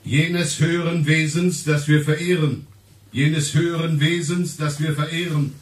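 An actor playing a pompous older professor in a radio drama, speaking in a solemn, declamatory way. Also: male voice, fairly high pitch, flat delivery, close to an everyday voice, low-quality recording. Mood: solemn, insistent. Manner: solemn, declamatory, drawn-out phrasing.

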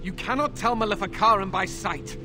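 An actor voicing a tormented, defiant young knight in a video game, anguished and halting, bitter and suspicious from captivity. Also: male voice, high voice, over music, natural voice. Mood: grim pleading. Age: young adult. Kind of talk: dramatic video game voice acting.

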